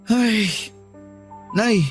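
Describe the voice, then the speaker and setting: A man's voice: very high-pitched, fairly even intonation, extremely slow, faint music behind, heavily acted. A man playing a sullen, discouraged young Filipino working-class son, plaintive and grumbling, in a Tagalog radio drama. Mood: resentful.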